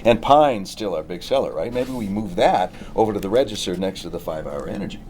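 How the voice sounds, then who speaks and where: A middle-aged business owner, persuasive with menace underneath, in a TV drama read. The voice is fairly deep and swings widely in pitch. The room is noisy. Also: male voice, animated, fairly quick, darkish tone. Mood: upbeat, persuasive. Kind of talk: table read of a TV drama script.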